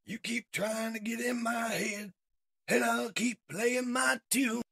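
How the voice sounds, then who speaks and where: A man's voice: extremely high pitch, flat delivery, takes it slowly, creaky, sharp tone, breathy edge, no room sound, studio quality, voice pushed up, heavily acted. A man voicing a defiant, loyal robot prisoner in a spirited, strained manner for a comic-book story.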